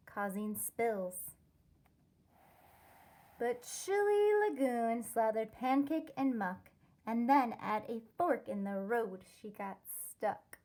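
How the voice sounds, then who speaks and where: Female primary-style reader for rhyming picture book: high-pitched, unhurried, deliberate teacherly delivery. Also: warm tone. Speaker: teacher reading a storybook aloud. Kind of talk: expressive children's read-aloud of a rhyming story.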